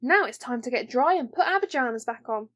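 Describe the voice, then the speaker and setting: A woman's voice: fairly high pitch, expressive, brisk, a bit bright. A woman voicing a bossy, boastful young princess with a haughty, grandly matter-of-fact manner in a children's storybook.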